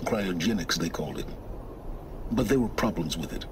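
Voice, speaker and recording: An actor playing a cold, secretive middle-aged male scholar of ancient ruins, measured and condescending. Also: sits low, expressive, noisy background. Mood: explaining. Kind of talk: video game cutscene acting.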